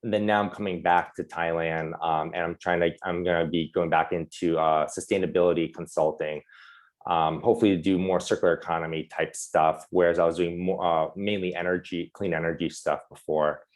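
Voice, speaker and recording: A young man speaking casually as a webinar panelist over a video call, halting with many fillers and restarts. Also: low-pitched, flat delivery.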